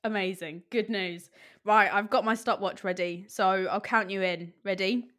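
A woman's voice: mid-range voice, steady tone, moderate pace, a bit bright. Woman hosting a chatty podcast, upbeat and encouraging. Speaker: podcast host. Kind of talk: casual interview chat.

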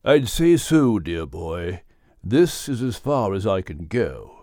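Giant genteel whale for children's audiobook; courteous, hushed, low, hugely varied intonation. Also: male voice, sing-song, takes it slowly, no echo, clean studio sound. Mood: discreet, polite. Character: huge genteel male whale, courteous and unhurried. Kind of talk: audiobook character voice.

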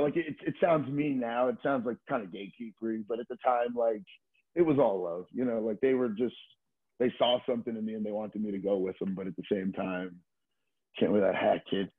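A man's voice: fairly low pitch, slightly rough, phone-quality sound. A middle-aged man talking casually as a podcast guest, telling stories in an informal, slangy way with swearing and plenty of filler words like "like" and "you know". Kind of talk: casual conversational storytelling.

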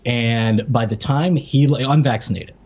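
American male podcast co-host, average pitch, conversational storyteller who slips into quoted lines. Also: a little slow, darkish tone, husky, dull audio. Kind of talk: casual chat, personal anecdote.

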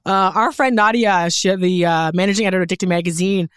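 A woman's voice: on the low side, fast talker, clear, resonant tone, no room sound. A woman from Newfoundland with a Canadian accent, chatting candidly and emphatically as an interview guest in a studio. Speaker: guest, fashion photographer and creative director. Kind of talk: casual chat interview.

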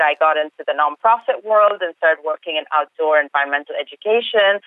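A woman's voice: fairly low pitch, no echo. A woman from Egypt speaking as an interview guest, telling her own story in a conversational way. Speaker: interview guest, food systems researcher. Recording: narrow phone-like line.

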